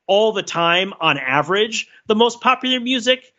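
Male podcast host, higher-than-average bright voice, thinking aloud in rambling analytical fashion with frequent "right?" check-ins. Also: animated, muffled recording. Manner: discursive, halting, checks in with "right?". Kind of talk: casual analytical discussion.

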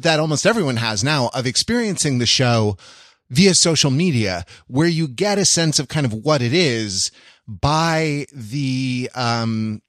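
American man, mid-pitched voice, dry sound, erudite and rambling, casual storytelling, wry. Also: fairly bright tone, no echo. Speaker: podcast co-host. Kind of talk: casual conversational anecdote telling.

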